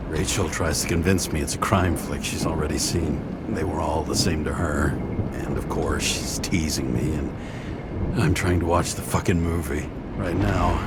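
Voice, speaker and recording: An actor playing a world-weary, sardonic, hard-drinking detective in a noir video game, with a gruff, brooding delivery. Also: male voice, extremely low pitch, very noisy. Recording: loud city and vehicle ambience.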